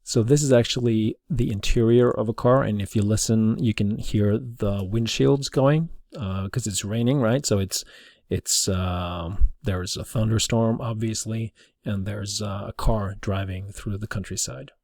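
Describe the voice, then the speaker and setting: A man with a low voice teaches through an informal demonstration. He explains in a halting, hesitant way, and the recording is dry and free of echo. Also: a little mellow. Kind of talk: informal screen demonstration for a class.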